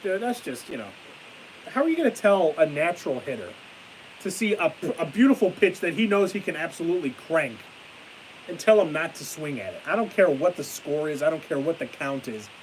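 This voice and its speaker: A man's voice: fairly high pitch, a little fast, low-quality recording. Stuffy-nosed young man ranting on stream. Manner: rambling, excitable rants that trail off into tired mumbling. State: stuffy-nosed, losing his voice. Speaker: live streamer. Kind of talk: casual live stream chat. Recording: hissy home stream mic.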